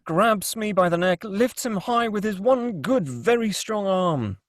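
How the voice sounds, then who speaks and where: A man's voice: sits high, animated, a little slow, dry recording, studio quality. A man reading screenplay stage directions as narrator at a table read.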